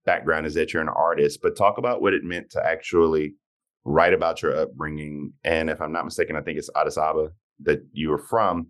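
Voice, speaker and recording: A man's voice: extremely low pitch, fairly even intonation, fairly quick. A man hosting a podcast interview, asking long, rambling questions full of fillers. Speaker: podcast host.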